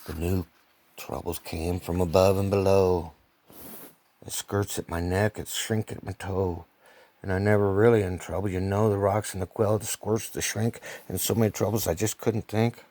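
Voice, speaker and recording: An older man reading a children's story like a grandfather, animated and rambling, repeating phrases and ad-libbing, with a gravelly, husky voice. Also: deep voice, normal speed.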